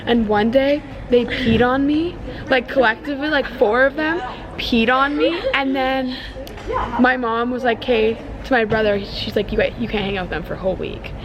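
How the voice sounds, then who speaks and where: A young woman with a slightly high voice recounts a story in a halting, amused way. Crowd chatter hums behind her. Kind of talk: casual storytelling.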